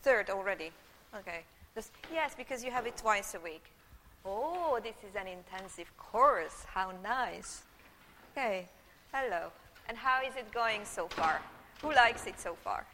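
A Hungarian woman in middle age, a native Hungarian speaker, lectures to a live class through a room microphone. Her voice is bright and forward, and her manner is chatty, with frequent check-ins with the audience. A long hall reverb trails her words. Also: mid-range voice, lively intonation, measured pace, slight background noise. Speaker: university lecturer.

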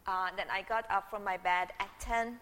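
Bright-voiced Hungarian woman lecturing in a hall. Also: medium pitch, fairly even intonation, normal speed. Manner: chatty, checks in with the audience often. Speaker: university lecturer. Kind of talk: live university lecture. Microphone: room microphone. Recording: long hall reverb.

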